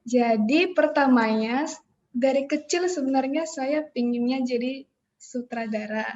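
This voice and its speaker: A woman's voice: sits high, measured pace, darkish tone. A woman with a North Sumatran Indonesian accent, a podcast guest telling her story in a friendly, upbeat way over dull lo-fi remote audio. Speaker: podcast guest, customs officer. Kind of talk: casual interview chat.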